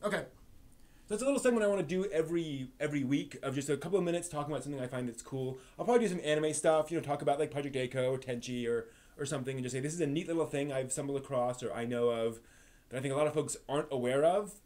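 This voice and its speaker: A man hosting a live stream, telling things in a casual, animated, emphatic storytelling way. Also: on the high side, rapid-fire. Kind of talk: casual enthusiastic monologue to stream viewers.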